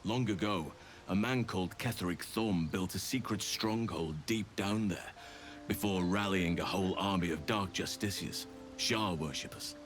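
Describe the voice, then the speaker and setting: A man playing a wise, solemn archdruid in a video game, speaking in a measured, formal and regretful way. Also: sits low, soft background music, natural voice. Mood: grave, explaining. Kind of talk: video game dramatic dialogue.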